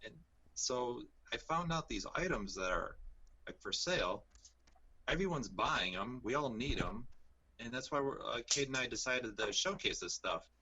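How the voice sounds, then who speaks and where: A man's voice: medium pitch, lively intonation, moderate pace, sharp tone, slightly rough, muffled recording. A man appearing as a guest over a video call, easygoing, filling his talk with "like" and "you know". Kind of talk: casual video-call chat.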